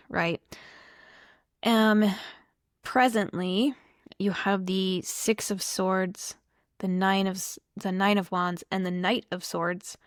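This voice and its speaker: A slightly hoarse woman giving a casual tarot reading to camera, chatty and reflective, thinking aloud as she goes. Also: medium pitch, no echo, studio quality. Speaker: tarot reader.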